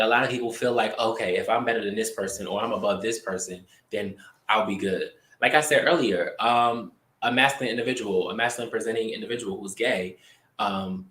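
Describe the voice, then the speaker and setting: Animated man co-hosting in an echoey room. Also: on the low side. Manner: animated and expressive, drawn-out emphasis. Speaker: podcast co-host. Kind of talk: casual chat.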